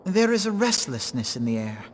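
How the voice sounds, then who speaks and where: An actor as a self-possessed, eloquent fantasy gentleman with a mid-range male voice. He speaks formally, as in a game cutscene. The sound rings with long reverb over faint noise. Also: mid-range voice, very animated, normal speed, close to an everyday voice. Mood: concerned. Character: articulate, self-possessed gentleman warning of unrest. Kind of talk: video game cutscene acting.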